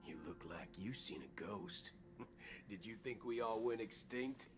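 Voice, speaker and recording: An actor playing a cocky cyborg gunslinger in a video game, with a theatrical, folksy drawl. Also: male voice, lowish voice, measured pace, over music, lightly acted. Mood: cocky, teasing. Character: swaggering cocky male cyborg gunslinger, folksy space cowboy.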